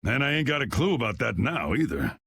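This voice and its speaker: A man voicing a gruff, commanding old guild boss in a video game, folksy, drawling and stubborn, with a gravelly, husky voice. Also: mid-range voice, sing-song, fairly quick, fairly bright tone, dry recording, clean studio sound, full character voice. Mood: wry, evasive. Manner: folksy, drawling, plain-spoken. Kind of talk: video game dub acting.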